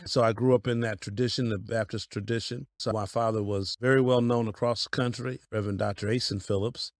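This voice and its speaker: A man's voice: fairly low pitch, steady tone, brisk, no room sound, clean studio sound. A man in his fifties, a pastor from Colorado, telling stories of his life as an interview guest in a folksy way. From Denver, Colorado. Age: 50s. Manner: folksy, storytelling, laughs easily.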